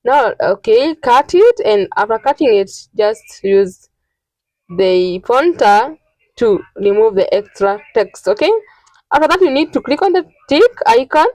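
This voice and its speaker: High-voiced woman giving step-by-step instructions. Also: lively intonation, a little mellow, no room sound, low-quality recording. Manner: instructional, repetitive, halting. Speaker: tutorial host. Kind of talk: informal step-by-step screen tutorial narration.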